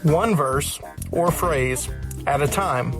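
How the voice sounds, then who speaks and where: A man's voice: on the high side, slow. A man co-hosting a podcast, reading a scripted promo with bantering turns. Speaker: podcast co-host. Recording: music bed underneath.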